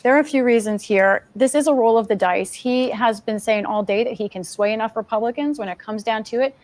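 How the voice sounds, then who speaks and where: A woman's voice: a little high, fairly quick, a little mellow. An American woman giving a live, explanatory news report as a political correspondent.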